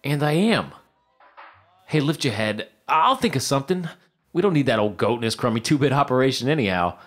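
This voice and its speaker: An actor playing an eager, deluded struggling screenwriter in a period audio drama, fast-talking and stammering as he pleads. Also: mid-range voice, big pitch swings, fairly bright tone, faint music behind. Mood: defensive, hopeful.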